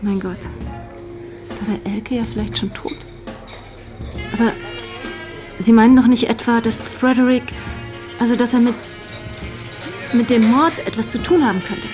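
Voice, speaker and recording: A young actress playing an emotional, defensive young lover being questioned in a German radio crime drama, often hesitating. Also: female voice, on the high side, fairly even intonation, measured pace, pauses often, music in the background, lightly acted. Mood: uneasy. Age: young adult. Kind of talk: German radio play acting.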